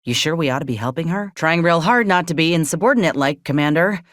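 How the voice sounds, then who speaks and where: A woman's voice: low-pitched, expressive, fast talker, dry recording, clean studio sound. A woman playing an earnest, loyal frontier soldier in a video game, speaking plainly and with measure. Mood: wary, firm. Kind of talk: video game companion dialogue.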